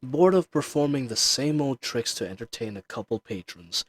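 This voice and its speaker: A man's voice: medium pitch, animated, husky. A man voicing an ancient, powerful dragon in human form, speaking in a grandiose, condescending and seductive way in a roleplay audio drama. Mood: haughty, taunting. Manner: slow, grandiose, formal, condescending, with drawn-out pauses. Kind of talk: scripted roleplay audio drama addressed to the listener. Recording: slight fuzzy microphone hiss.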